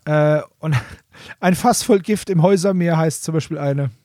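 A man's voice: fairly high pitch, moderate pace, mellow, dry recording, clean studio sound. A man co-hosting a podcast in informal, casual chat, rephrasing his thoughts as he goes. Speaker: podcast co-host. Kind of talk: casual chat about nostalgic audio dramas.

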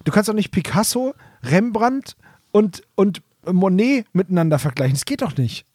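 A man hosts a podcast in casual, conversational style. His voice sits high for a man and has a husky edge. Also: sing-song, normal speed, darkish tone, no room sound, studio quality. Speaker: podcast host.

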